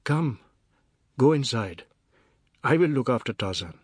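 A man's voice: medium pitch, animated, unhurried, husky, no echo, clean studio sound. A man playing a protective, curt father in a story reading. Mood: soothing. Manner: blunt commands, creole grammar. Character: stern, protective father, gruff and curt with workmen.

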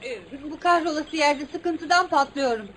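High-voiced, sulky, evasive sweetheart. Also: female voice, a little slow, fairly bright tone, a little hiss or hum, poor audio. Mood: bored, curious. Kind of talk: Turkish radio theatre acting.

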